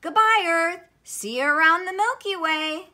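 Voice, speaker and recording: A woman's voice: a little high, big pitch swings, measured pace, bright, forceful tone, slight background noise, exaggerated voice. A woman voicing an indignant little male dwarf planet in a children's storybook, with emphatic, exclamatory delivery. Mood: pleased, proud.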